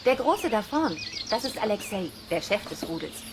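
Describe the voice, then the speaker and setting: Woman playing friendly wolf keeper lady in a kids radio play, sure of herself, brisk, birds and outdoor noise behind her. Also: mid-range voice, sing-song, natural voice. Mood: assured explaining. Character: friendly, confident woman who looks after wolves. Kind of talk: children's radio play dialogue. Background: outdoor nature sounds, birds, animals.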